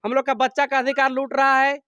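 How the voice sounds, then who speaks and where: A man's voice: extremely high pitch, monotone, brisk, pushed and bright, dry recording, clean studio sound. A man with a Jharkhand accent, a farm worker being interviewed, making an impassioned political appeal in an insistent, emphatic way with repeated phrases.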